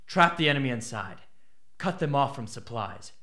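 A man's voice: mid-range voice, expressive, moderate pace, pushed and bright. An actor playing an embittered, intense young male rebel in a radio drama. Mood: persuasive.

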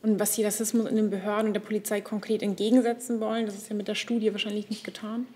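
A woman's voice: medium pitch, level tone, very fast, mellow. A woman moderating a press conference and relaying questions in a businesslike, direct manner. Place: large indoor press conference room.

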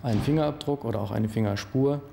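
Gravelly, mellow middle-aged male expert. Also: medium pitch, fast, slight background noise. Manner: formal, technical phrasing. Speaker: fingerprint expert interviewee. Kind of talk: explanatory expert interview. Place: office with computer screen, indoors.

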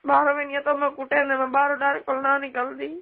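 High, creaky young woman on phone. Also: completely flat delivery, a little fast. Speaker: phone caller complaining to her aunt. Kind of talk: casual phone conversation, complaining. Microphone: telephone. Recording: tinny, band-limited phone line.

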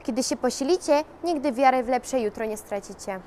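A kind, brave young heroine played by a teenage girl, high in pitch and earnest. She declaims her lines in an amateur sci-fi drama, with noise around her. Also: fairly quick, mellow, close to an everyday voice. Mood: kind, inviting. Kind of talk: amateur school film acting.

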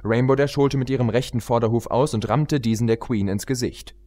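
A man's voice: mid-range voice, a little fast, mellow. A man reading a story aloud as an audiobook narrator in a scripted narration style.

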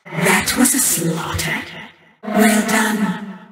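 A vengeful female spirit from a video game, speaks in slow, commanding declarations. She is proud and merciless, with theatrical menace. Her voice is heavily echoed and sits back from the mic under loud music. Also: medium pitch, steady tone, distant mic. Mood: triumphant. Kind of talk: video game character voice lines.

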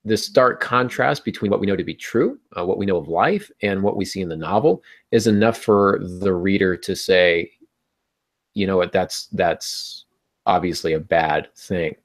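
Low-pitched American man hosting a podcast. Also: moderate pace. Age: middle-aged. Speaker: podcast host and author. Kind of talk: conversational explanation on a live podcast. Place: small home room, indoors.

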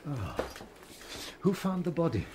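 A man playing a loyal, hesitant British gentleman doctor in a radio drama, with an expressive, slightly bumbling manner. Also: fairly high pitch, a little slow, noisy background. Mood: shocked, curious.

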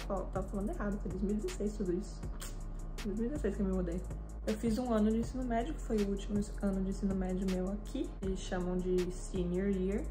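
A woman in her twenties from Brazil, with a Brazilian accent, telling her own story to camera in a casual, animated way. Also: mid-range voice. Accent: Brazilian Portuguese. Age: 20s. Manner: chatty and animated, with frequent hand gestures. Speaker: fitness vlogger. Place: small indoor room with a desk and lamp.

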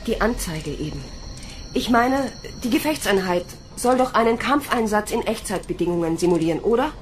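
A woman's voice: mid-range voice, lively intonation, moderate pace, a little hiss or hum, natural voice. A woman playing a stubborn but insecure young female recruit in a German science fiction radio drama. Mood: pleading, hesitant.

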